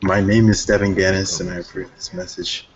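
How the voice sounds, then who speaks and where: A young man guest on a casual live podcast, throwing out deadpan, provocative jokes. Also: sits low, brisk. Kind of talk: casual live-stream chat. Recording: dull lo-fi audio with faint noise.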